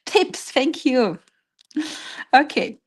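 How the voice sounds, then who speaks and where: A woman's voice: high-pitched, very animated, very slow. A woman with a Czech accent leading an informal live craft tutorial step by step, hesitantly searching for her English words. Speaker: craft workshop instructor.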